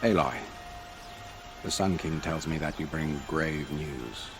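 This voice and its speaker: A man playing a dignified royal advisor in a video game, formal and measured with dry wit and a gravelly voice. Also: extremely low pitch, noisy background, full character voice. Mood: solemn. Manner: formal, measured, dryly wry. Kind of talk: video game cutscene acting.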